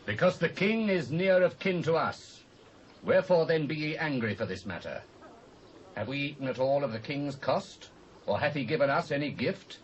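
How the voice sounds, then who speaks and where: A man's voice: fairly high pitch, expressive, normal speed, close to an everyday voice. Testy British tribesman, muffled sound male actor. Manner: argumentative, retorting. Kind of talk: dramatised scripture acting.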